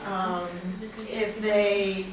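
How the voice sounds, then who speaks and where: A woman's voice: medium pitch, level tone, drawn-out words. American woman lecturing in an echoey hall. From United States. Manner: chatty, self-correcting, teasing asides. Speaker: professor giving a guest lecture. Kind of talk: casual lecture to students. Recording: roomy, distant, noisy hall recording.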